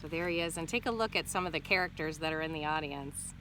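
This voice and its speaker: A woman's voice: low-pitched, fast, fairly bright tone, a little hiss or hum, poor audio. A woman teacher reading a picture book aloud to children expressively, with instructive asides and questions to check in.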